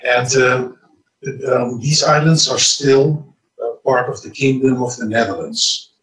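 Curaçaoan retreat organizer, man, measured delivery with fillers, heard from across an echoey room. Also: medium pitch, fairly bright tone, distant mic. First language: Papiamento. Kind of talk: interview answers.

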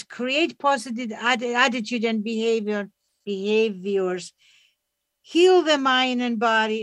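A woman with a Greek accent talks earnestly as a therapist guest, often restarting her sentences. Her voice sits a bit high and the recording is dry and close, with no room sound. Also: a bit bright, no echo. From Greece. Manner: earnest, restarts often, non-native grammar. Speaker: hypnotherapist and author, guest. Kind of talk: conversational interview.

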